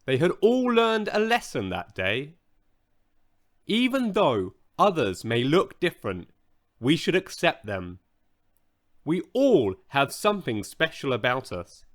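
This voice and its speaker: A man narrating a children's bedtime story in a measured, expressive storytelling manner. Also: mid-range voice. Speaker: audiobook narrator.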